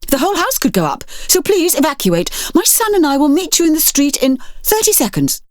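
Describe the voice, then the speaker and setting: A woman's voice: high-pitched, huge pitch swings, a little fast, no room sound, pitched above the performer's own voice. A British woman voicing an urgent, commanding woman adventurer in an audiobook, insistent and earnest. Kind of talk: audiobook character dialogue.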